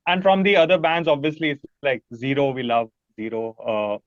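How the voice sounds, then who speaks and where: A man's voice: mid-range voice, fairly even intonation, moderate pace, no room sound. A man with an Indian accent chatting casually and enthusiastically as a musician interview guest. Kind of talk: casual chat. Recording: dull, lo-fi audio.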